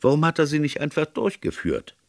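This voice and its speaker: A man's voice: on the high side, huge pitch swings, a little fast, creaky, no room sound, studio quality, pitched above the performer's own voice, exaggerated voice. A man voicing a blunt, puzzled police inspector who questions things emphatically in an audiobook story. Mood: puzzled, exasperated.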